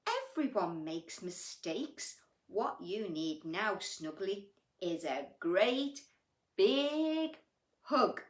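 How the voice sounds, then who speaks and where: Storybook friendly boy monster, read by a woman: kind, cheerful, encouraging, slow and lilting, in an echoey room. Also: medium pitch, very animated, voice pushed down. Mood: kindly.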